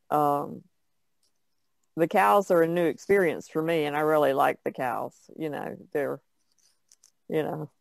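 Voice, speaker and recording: A woman's voice: low-pitched, gravelly, no room sound. A woman, an artist guest, chatting casually in a rambling way that trails off. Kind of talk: casual chat.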